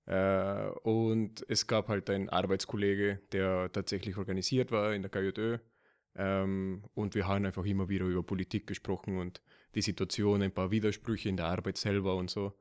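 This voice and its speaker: Guatemalan-born young man, lowish voice. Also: little pitch movement, moderate pace, darkish tone, smooth. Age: 20s. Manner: loose and hesitant, laughs easily. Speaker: student guest. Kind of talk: casual podcast chat.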